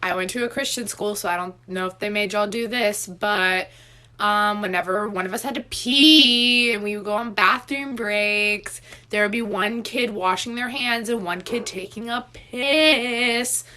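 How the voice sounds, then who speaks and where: A woman's voice: mid-range voice, measured pace, pushed and bright, faint music behind. A woman telling a casual storytime in a wry, mock-innocent manner.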